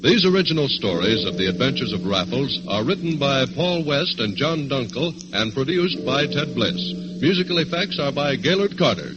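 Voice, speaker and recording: A man's voice: mid-range voice, expressive, normal speed, few pauses. A man announcing in a scripted, old-time American network radio style. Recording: dull old radio recording, loud music. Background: loud theme music.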